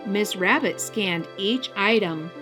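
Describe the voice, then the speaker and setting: A woman hosting a children's story time, narrating a scripted picture book in a deliberate, expressive style. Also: medium pitch, over music. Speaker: storyteller, host.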